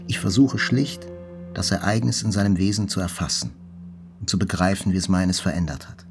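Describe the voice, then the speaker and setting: An actor playing a shaken, reflective survivor looking back, speaking deliberately with pauses, as in a radio play. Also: male voice, low-pitched, steady tone, over music. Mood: brooding, reflective. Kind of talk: dramatic literary narration, radio play.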